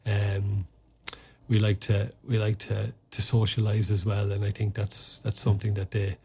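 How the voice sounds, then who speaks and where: A man's voice: on the low side, flat delivery, moderate pace, mellow, slight background noise. An Irish man talking as a podcast guest in a casual interview, often stopping and restarting his sentences with fillers. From Ireland. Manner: hesitant, many fillers and restarts. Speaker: podcast guest, former soldier.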